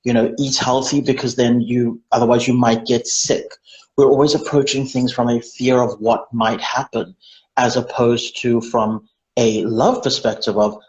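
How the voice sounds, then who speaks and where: A man's voice: mid-range voice, darkish tone. A man hosting an online interview over a video call, chatty and effusive, with many fillers and restarts. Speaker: interview host. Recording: dull, echoey video call.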